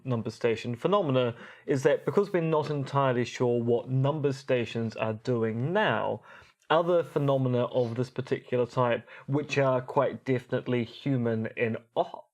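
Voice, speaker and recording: A man's voice: medium pitch, normal speed, darkish tone. A man co-hosting a podcast, explaining things in articulate, rather formal and academic phrasing. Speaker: podcast co-host.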